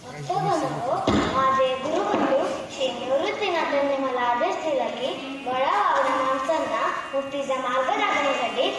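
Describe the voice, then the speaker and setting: A young boy playing a gentle, devout young saint-poet in a declamatory devotional stage monologue. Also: extremely high pitch, voice pushed down. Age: child. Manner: declamatory recitation with chanted verses and explanations. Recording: echoey stage, distant mic, music behind.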